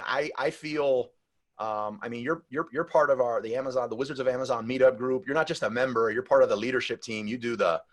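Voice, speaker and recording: A man hosting a podcast, chatting in a loose, rambling way with many restarts. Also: mid-range voice. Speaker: podcast host. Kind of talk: casual podcast chat.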